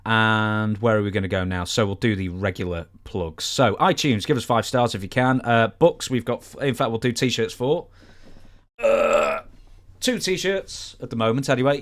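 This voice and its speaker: A British man co-hosting a podcast, chatting and reading plugs in a rambling way with dry, self-deprecating humour. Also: mid-range voice. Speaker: podcast co-host. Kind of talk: casual chat, reading plugs.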